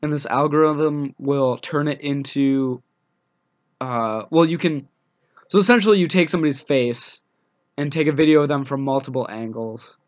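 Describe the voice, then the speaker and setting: A young man with a scratchy, cold-roughened voice talks casually as a podcast co-host. His humor is dry and deadpan, and his voice sits at a middling pitch. He comes through a dull, muffled phone line. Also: moderate pace. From United States. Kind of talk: casual chat.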